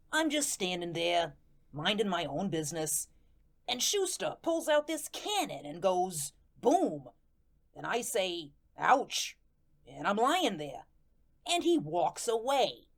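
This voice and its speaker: A woman's voice: lowish voice, full character voice. A woman playing a chatty, aggrieved ghost of a murder victim, telling the story in slangy, conversational radio drama style. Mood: aggrieved, bemused. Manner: colloquial, ungrammatical, conversational storytelling. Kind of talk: first-person radio drama narration with acted dialogue.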